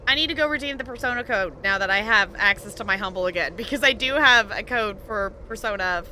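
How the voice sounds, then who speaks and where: A woman chatting casually and animatedly on a game livestream, halting mid-thought as she goes. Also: medium pitch, sharp tone, a little hiss or hum. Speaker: video game live streamer. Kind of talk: casual livestream chat over gameplay.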